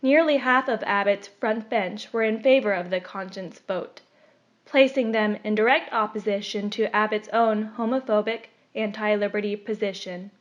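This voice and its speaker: A woman reading a scripted opinion article aloud in a measured, formal delivery. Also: mid-range voice. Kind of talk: scripted narration. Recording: dull, lo-fi recording.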